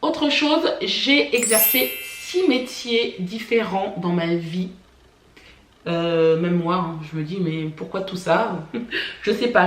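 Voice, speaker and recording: A woman born in France, telling personal stories to camera for her family vlog in a chatty way, often pausing on "euh" and "voilà". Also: fairly low pitch, reverberant. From France (born in Paris). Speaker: family vlogger. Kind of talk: casual personal storytelling to camera.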